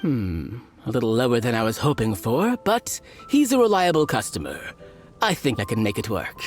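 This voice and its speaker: Man as game shopkeeper, affable yet firm, courteous, mid-pitched, animated intonation, businesslike. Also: normal speed, close to an everyday voice. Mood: considering, businesslike. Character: affable but firm adult male drinks merchant. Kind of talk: video game cutscene acting. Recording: music behind the voice.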